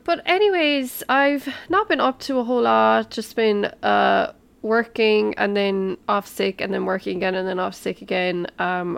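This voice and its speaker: A woman hosting her podcast in a casual, rambling solo chat, her voice croaky from a cold. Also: medium pitch. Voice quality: gravelly, croaky. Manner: rambling, chatty, many fillers. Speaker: podcast host. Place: New York.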